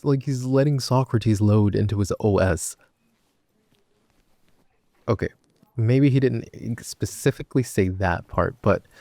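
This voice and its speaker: Man acting young male best friend, teasing, rambling, wry, self-interrupting, dark relaxed tone, dry close sound, intimate audio roleplay. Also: on the low side, no echo. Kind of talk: intimate boyfriend roleplay audio, casual storytelling.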